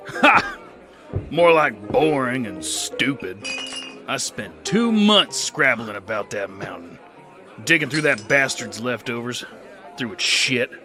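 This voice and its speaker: A sardonic, haunted gunslinger. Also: male voice, medium pitch. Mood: gruff. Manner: gruff, slow, sardonic. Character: haunted male gunslinger veteran, hard-bitten, sardonic. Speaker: narrator and lead.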